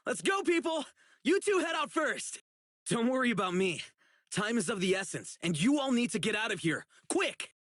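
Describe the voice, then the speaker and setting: Earnest young officer, swooping delivery. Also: male voice, very high voice, very animated, normal speed, fairly bright tone, no room sound, clean studio sound, full character voice. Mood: urgent, resolute. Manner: earnest, righteous, emphatic. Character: earnest, hot-blooded young male public security officer, idealistic and righteous. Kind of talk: video game character voice acting.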